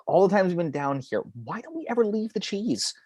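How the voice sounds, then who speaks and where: A man reading a cocky, animated cynical angel. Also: high voice, a little fast, a little hiss or hum. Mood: irritated. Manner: slangy, opinionated. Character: cynical, cocky male angel of death, argumentative. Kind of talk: table read of a film script.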